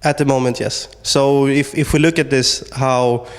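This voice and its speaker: Hesitant Swedish-raised man, flat delivery. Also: mid-range voice, moderate pace, big-room sound. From Sweden. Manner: hesitant, many fillers and restarts. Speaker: startup founder. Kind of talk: interview answers.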